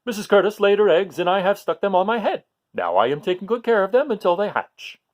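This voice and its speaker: A man's voice: high-pitched, big pitch swings, fairly quick, voice pushed up. A man voicing a contented, devoted father nursery fish, emphatic and self-assured, in a picture-book read-aloud. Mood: contented, proud.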